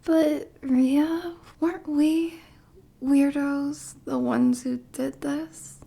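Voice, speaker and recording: Woman as a high, dorky lovestruck bodyguard. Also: fairly even intonation, extremely slow, vocal fry, dark tone, heavily acted. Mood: sheepish. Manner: bashful, stammering, muttering asides. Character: dorky, lovestruck yandere bodyguard woman, clumsily hiding obsession. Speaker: voice actor in ASMR roleplay. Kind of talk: ASMR roleplay, scripted.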